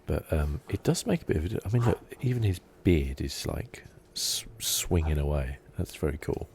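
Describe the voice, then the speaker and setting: Male ASMR gamer, very low gravelly voice, low-key and rambling, faint noise behind. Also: expressive, normal speed. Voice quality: soft-spoken, low-key. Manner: rambling, thinking aloud. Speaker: video game streamer. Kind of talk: relaxed ASMR gameplay commentary.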